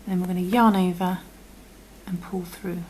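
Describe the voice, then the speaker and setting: A woman with a croaky voice, patiently talking through a crochet tutorial and often dropping to a half-whisper as she works. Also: on the low side, little pitch movement, normal speed, a little mellow, slight background noise, poor audio. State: croaky from illness. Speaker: crochet instructor. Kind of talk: step-by-step tutorial.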